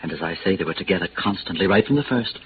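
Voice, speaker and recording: Fussy, swooping, obsequious hotel manager. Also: male voice, deep voice, animated, racing. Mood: gossipy. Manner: pompous, flowery, unctuous, hesitant. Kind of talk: 1950s American radio drama character acting. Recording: scratchy, muffled vintage radio recording with hiss.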